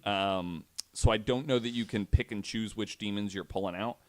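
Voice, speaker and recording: Low-pitched man telling a story casually. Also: normal speed. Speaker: podcast panelist telling a true story.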